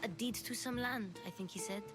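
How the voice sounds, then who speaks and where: A woman's voice: medium pitch, a little fast, close to an everyday voice. A woman voicing an eager, earnest little girl who likes to sneak and help, in a video game cutscene. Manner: earnest, childlike. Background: background music.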